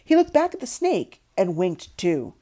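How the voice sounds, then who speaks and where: Mellow woman reading a story, very lively intonation. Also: on the low side, a little slow. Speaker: audiobook narrator. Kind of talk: expressive storybook read-aloud.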